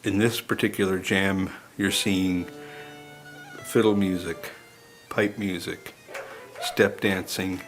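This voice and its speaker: A man slowly listing things in a measured voice-over. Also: sits low, flat delivery, soft background music.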